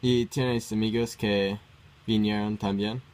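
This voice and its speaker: Lowish-voiced male interviewer. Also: flat delivery, slow, darkish tone. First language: English. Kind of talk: simple prepared interview questions.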